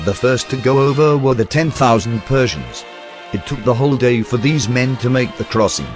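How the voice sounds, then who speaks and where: A man reading a historical account aloud in a measured, storytelling documentary narration. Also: mid-range voice, lively intonation, over music. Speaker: reader of historical text.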